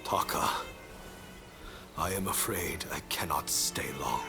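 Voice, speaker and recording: An actor playing a proud, stern lord in a game cutscene, with a formal, commanding, deliberate manner. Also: male voice, low-pitched, expressive, creaky, in a whisper, heavily acted. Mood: solemn, resolute. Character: proud, stern lord of duty and political ambition. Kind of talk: dramatic video game cutscene acting. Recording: music behind the voice.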